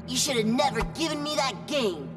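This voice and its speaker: An actor playing a goofy, excitable teenage superhero slacker in a cartoon video game, slangy and comic. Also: male voice, very high voice, a little fast, soft background music, heavily acted. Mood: indignant, slangy. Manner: slangy, laid-back, comic.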